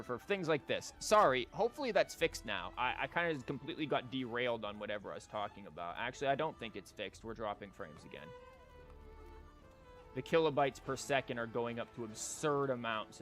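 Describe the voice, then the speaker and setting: Man, game livestreamer, casual rambling commentary, wry and sarcastic, medium pitch, faint music behind. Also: animated, a little fast, a bit bright. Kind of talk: casual chat and commentary while streaming a game. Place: live stream from an indoor setup.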